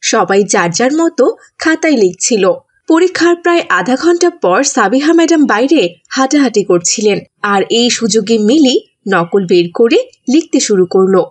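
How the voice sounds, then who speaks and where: A woman's voice: fairly high pitch, lively intonation, normal speed. A woman reading scripted narration for a children's cartoon story.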